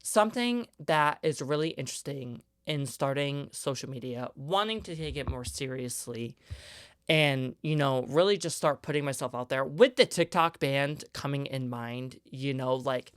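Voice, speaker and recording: Young male podcast host, 20s, higher-pitched voice, clean and smooth, earnest emphatic solo rant. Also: lively intonation, normal speed, dry recording, clean studio sound.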